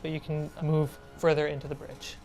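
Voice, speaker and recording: A woman's voice: low-pitched, flat delivery, moderate pace, dark tone, faint music behind. A woman narrating a tabletop role-playing game as game master.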